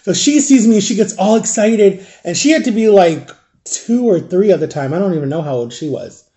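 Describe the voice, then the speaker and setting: A man in his early thirties with a fairly high voice and a soft, mellow tone, chatting casually to the camera. He rambles through a personal story, backing up to correct himself as he goes. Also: expressive, moderate pace. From Florida. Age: 30s. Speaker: vlogger. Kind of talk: casual storytime chat to viewers.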